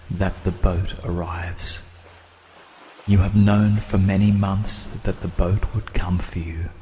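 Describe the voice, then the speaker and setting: A man narrating a scripted guided meditation in a soothing, measured manner, with long pauses and soft, breathy phrase endings. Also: low voice, slight background noise.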